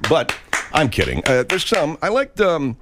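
A man's voice: a little high, wildly swooping pitch, unhurried, heavy background noise. A man hosting a morning radio show, mocking and crude with jokes. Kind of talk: irreverent morning radio banter.

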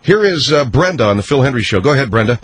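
Fast-talking, lively male radio host. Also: mid-range voice, husky. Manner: easygoing, ribbing, reins in callers. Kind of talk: talk radio hosting.

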